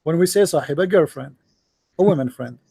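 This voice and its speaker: Arabic-accented male host, emphatic and repetitive in debate, higher-pitched, dry close sound. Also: animated, brisk, vocal fry, mellow, breathy edge, dry recording. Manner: emphatic, repetitive, non-standard English grammar. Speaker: call-in show host. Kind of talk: argumentative religious debate.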